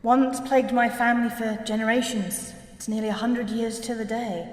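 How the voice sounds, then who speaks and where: A woman playing a grave, haunted gentlewoman in a gothic audio drama, speaking with polite, earnest solemnity. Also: fairly high pitch, steady tone, a little fast, natural voice. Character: grave, haunted gentlewoman seeking to tell family story. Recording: echoey hall with long reverb.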